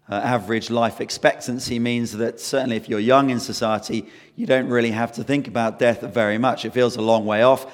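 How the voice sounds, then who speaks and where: A man preaching a sermon and leading prayer, hoarse from losing his voice, in an earnest, conversational way. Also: medium pitch, big-room sound.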